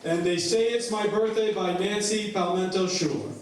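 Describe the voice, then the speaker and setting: A man's voice: sits high, steady tone, normal speed. A man informally hosting a discussion from a stage as moderator. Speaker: moderator of author talkback. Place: indoor stage at an arts venue. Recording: echoey noisy hall, distant mic, crowd.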